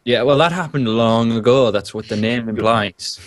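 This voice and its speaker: A man's voice: medium pitch, moderate pace, no echo, clean studio sound. A man joking cheekily as a guest in casual podcast banter. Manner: cheeky, jokey. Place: remote voice call.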